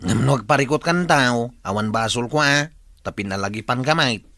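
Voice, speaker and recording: A man's voice: mid-range voice, normal speed, sharp tone, no echo, clean studio sound. A man playing an impatient nephew who nags and insists, in a comedy drama. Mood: impatient, nagging. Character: impatient nephew nagging his smitten uncle.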